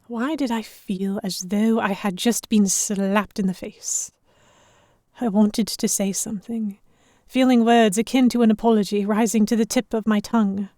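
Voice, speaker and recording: Woman acting sharp-tongued young lady narrator, close and dry. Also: mid-range voice, measured pace, no room sound, close to an everyday voice. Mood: regretful. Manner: expressive, introspective storytelling. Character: Everly, sharp-tongued young lady hostage among pirates, narrating her story. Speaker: narrator and lead character. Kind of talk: first-person audio drama narration.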